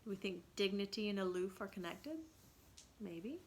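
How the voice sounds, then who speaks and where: A woman's voice: medium pitch, normal speed, a little hiss or hum. A woman reading a children's story aloud dramatically, in a teacherly way that invites listeners to think.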